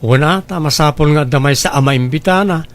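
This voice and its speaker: High-pitched, earnest Ilocano village man acted. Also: brisk, lightly acted. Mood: earnest, warning. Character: earnest village man warning about online job scams. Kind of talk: Ilocano audio drama dialogue.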